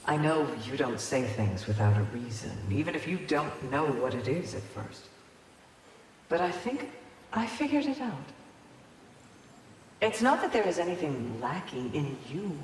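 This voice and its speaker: An actress playing a solemn, gentle and resolute valkyrie in a video game, speaking in measured, earnest phrases. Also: female voice, rumbling low, expressive. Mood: thoughtful, earnest. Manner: measured, deliberate, earnest delivery with pauses. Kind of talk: dramatic video game voice acting. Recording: echoey long reverb, faint background noise.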